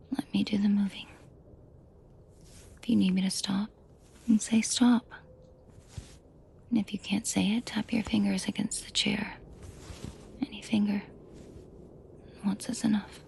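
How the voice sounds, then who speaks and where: A woman's voice: medium pitch, gravelly, darkish tone, slight background noise. A woman playing a female mafia boss in a scripted roleplay audio drama, controlled and commanding, menacing to her men yet protective and tender. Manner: controlled, commanding, slow and deliberate, often dropping to whispers. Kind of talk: scripted roleplay audio drama, intimate direct address to listener.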